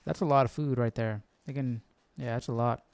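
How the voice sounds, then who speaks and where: A man's voice: medium pitch, fairly even intonation, brisk, creaky, darkish tone, dry recording. A man hosting a Bible study livestream, thinking aloud in an informal, musing way and often repeating himself. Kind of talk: casual chat, thinking aloud.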